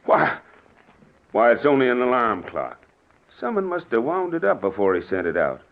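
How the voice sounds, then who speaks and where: An actor playing a brash bomb squad policeman who takes charge briskly in an old-time radio drama. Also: mid-range voice, huge pitch swings. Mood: cautious, puzzled. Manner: brisk, commanding. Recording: tinny, very noisy old radio recording.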